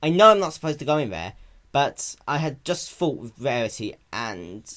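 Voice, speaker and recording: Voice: medium pitch, sing-song, moderate pace, a bit bright, pitched above the performer's own voice. A performer voicing a young boy baby dragon in a cartoon-based story reading, anxious, protective and pleading, with a stammer. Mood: hesitant, tearful.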